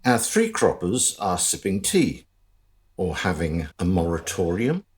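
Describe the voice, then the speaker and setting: A man's voice: low voice, big pitch swings, a little slow. A man giving scripted narration for a radio drama in a wry storytelling manner.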